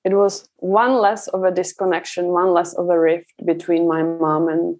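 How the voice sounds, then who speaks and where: A woman's voice: lowish voice, flat delivery, normal speed, a little mellow. Woman recounting personal stories in conversation. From Czech Republic. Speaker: parenting coach, interview guest.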